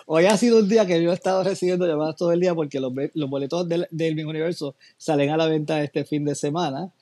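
A man with a Puerto Rican accent, talking as a pageant expert on a chat show, animated and enthusiastic in quick bursts. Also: on the high side, no room sound. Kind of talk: casual talk-show chat.

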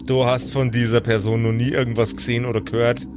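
A man narrating an improvised tabletop game as game master, measured and pausing mid-sentence. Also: mid-range voice, little pitch movement, over music.

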